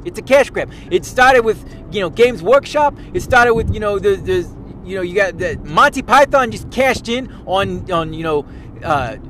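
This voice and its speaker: A man's voice: high-pitched, expressive, normal speed, a bit bright, slight background noise, rough recording. A man playing a pompous, self-important tabletop gaming expert who lectures in a rambling way, stumbling over restarts and repeating himself. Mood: smug. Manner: boastful and lecturing. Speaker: self-styled podcast expert. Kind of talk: parody monologue.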